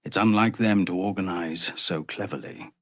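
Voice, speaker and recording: A male actor voicing a weary, earnest refugee leader and fallen warrior in a fantasy video game, with a gravelly, husky voice and a measured, formal, grave delivery. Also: low voice, a bit bright, dry recording, clean studio sound. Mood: urgent, earnest. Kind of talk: dramatic fantasy game dialogue.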